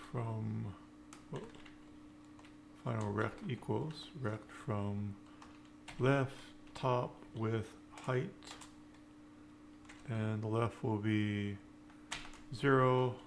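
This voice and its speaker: A man with a creaky, fry-edged voice walks through code as an informal instructor. He talks slowly and in fragments, thinking aloud as he works. There is clear background noise with keyboard typing. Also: on the low side, fairly even intonation. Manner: halting, piecemeal phrasing while typing. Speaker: programming tutorial instructor. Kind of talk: informal live-coding walkthrough, thinking aloud.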